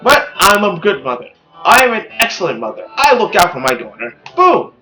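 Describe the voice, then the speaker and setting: A man's voice: sits high, normal speed, soft background music, poor audio. A man hosting a gaming video, reading a visual novel aloud and adding chatty commentary. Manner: animated, chatty.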